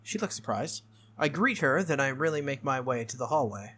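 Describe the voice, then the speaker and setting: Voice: mid-range voice, lively intonation, a little fast, fairly bright tone, breathy edge. A game playthrough host reading visual novel text aloud expressively, sometimes stumbling and rereading lines.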